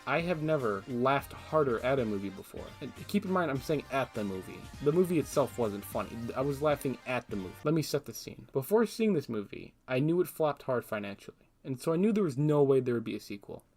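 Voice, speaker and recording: A man's voice: medium pitch, big pitch swings, fairly quick, hardly any pauses, darkish tone. Guy doing a sarcastic opinionated video essay, kinda casual, bit of music under it. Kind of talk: casual scripted commentary. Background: background music.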